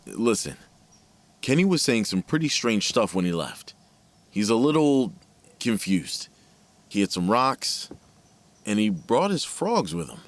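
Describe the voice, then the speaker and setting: An actor playing a worried, gentle middle-aged father in an audio drama, with a soothing, measured manner. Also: fairly low pitch, big pitch swings, no echo. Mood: concerned, pleading. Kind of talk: naturalistic audio drama acting.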